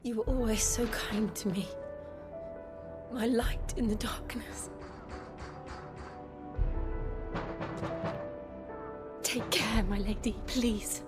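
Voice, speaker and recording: An actress playing a determined, gentle young woman in a video game cutscene, speaking in an earnest, hushed, formal way. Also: on the high side, steady tone, measured pace, music in the background, close to an everyday voice. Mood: tender, pleading.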